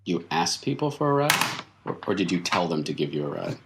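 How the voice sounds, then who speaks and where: A man playing a weary, mysterious circus veteran in tabletop roleplay, explaining things patiently and haltingly. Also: low voice, sing-song, noisy background. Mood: calm, probing. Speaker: game master. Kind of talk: improvised in-character tabletop roleplay.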